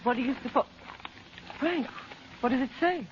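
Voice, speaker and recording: A woman playing a worried, proper, gently disapproving mother in an old radio adventure serial. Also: high voice, normal speed, lightly acted. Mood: curious. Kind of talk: 1930s-40s radio adventure serial acting. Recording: muffled noisy old radio recording.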